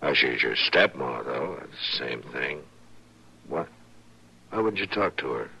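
An actor playing a patient, authoritative frontier marshal in a radio western, speaking plainly and in a measured way with an American accent. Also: male voice, a bass voice, lively intonation, gravelly, bright, forceful tone, husky, heavily acted. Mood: gentle, fatherly. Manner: measured, fatherly, plain-spoken. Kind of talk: old-time radio western acting.

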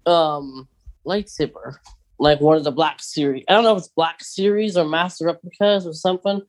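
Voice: medium pitch, steady tone, normal speed. A podcast co-host chatting casually and conversationally about fandom news, often putting questions to a partner.

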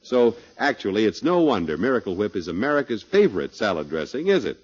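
A man's voice: sing-song, quick pace, husky. A man announcing in a polished, emphatic 1950s radio style, reading scripted ad copy and narration. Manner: polished, emphatic sales pitch. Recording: dull old radio recording.

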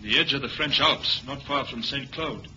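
An actor with a British accent playing a deferential aide to a spy chief in a 1970s radio drama. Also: male voice, mid-range voice, normal speed, sharp tone. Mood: anxious. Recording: dull, hissy old radio recording.

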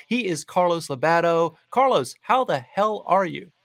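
A man's voice: high voice, lively intonation, normal speed, fairly bright tone, dry recording. A man hosting a show and chatting casually with his guest in a jokey, upbeat interviewing manner.

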